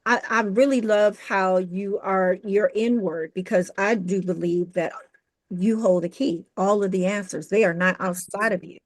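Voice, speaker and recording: Brief: woman host, mid pitch, warmly affirming interviewer, dead-dry close sound. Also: fairly even intonation, moderate pace, dry recording. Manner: encouraging, affirming, playful asides. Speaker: podcast host. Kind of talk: casual interview chat.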